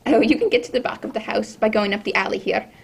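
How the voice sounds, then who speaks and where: Woman playing a husky, creaky, obliging housekeeper. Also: medium pitch, quick pace. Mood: helpful. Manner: deferential, obliging. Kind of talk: radio drama acting.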